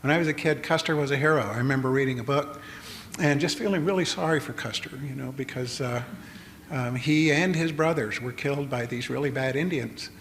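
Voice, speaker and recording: An older man gives a public lecture at an even, middling pitch, often stopping and restarting his thoughts. His voice carries a long hall reverb, with a faint hiss of noise underneath. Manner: halting, many fillers and restarts.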